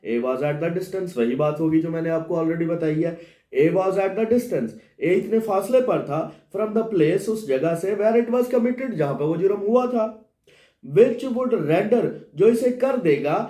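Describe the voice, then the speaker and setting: A Pakistani man gives a lecture in a mellow, slightly high voice. He reads out clauses, translates and explains them, often posing a question and answering it himself. The room sounds clearly echoey. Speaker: law lecturer. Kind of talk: lecture reading English clauses and translating into Urdu.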